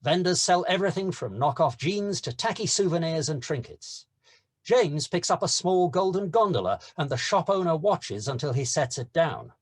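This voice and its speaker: A man's voice: a little high, animated, moderate pace. A man narrating scene headings and stage directions from a script.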